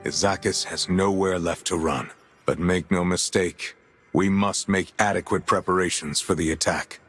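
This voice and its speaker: An actor playing a grave veteran lord and military commander in a video game, speaking in a formal, measured way. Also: male voice, sits low, fairly even intonation, a bit bright, husky, soft background music. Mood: grave, resolute. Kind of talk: dramatic video game cutscene acting.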